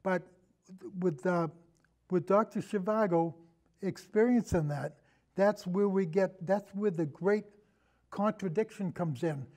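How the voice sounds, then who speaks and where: An older man talking casually about film as a guest, in a rambling, halting way that trails off. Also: high-pitched, a little mellow. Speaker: podcast guest, longtime radio show host.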